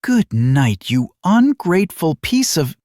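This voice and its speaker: Man voicing a teasing, sarcastic young adventurer woman, higher-pitched. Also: sing-song, takes it slowly, darkish tone, no echo, studio quality. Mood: mock annoyance. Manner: sarcastic, bantering.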